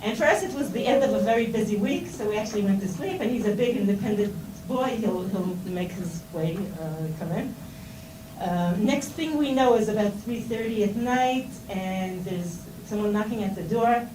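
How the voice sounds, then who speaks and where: Halting Israeli woman, roomy hall sound. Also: mid-range voice, expressive. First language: Hebrew. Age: middle-aged. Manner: halting, many fillers and restarts, conversational. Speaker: speaker at a seminar. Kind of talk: informal talk to students. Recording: roomy and distant, with noise.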